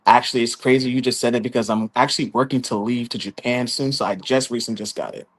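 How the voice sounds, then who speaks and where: A man from Los Angeles answering dating questions on a live show, earnest and full of little pauses. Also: medium pitch, steady tone. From Los Angeles, California. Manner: earnest, many um fillers. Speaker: contestant. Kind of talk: casual live chat.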